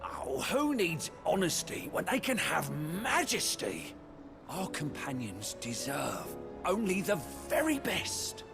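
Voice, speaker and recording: An actor playing a florid, excitable male gourmand who declaims pompously in a fantasy video game. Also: high voice, slow pace, gravelly, over music, exaggerated voice. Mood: indignant, grandiose. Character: grandiloquent, excitable male gourmand, theatrically enraptured by food.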